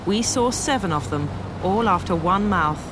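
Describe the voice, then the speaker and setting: A woman's voice: expressive, normal speed, lightly acted. Traffic noise, actress as earnest female news reporter, grave, portentous, scripted investigation, comedy TV. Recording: noisy outdoor location report, traffic rumble.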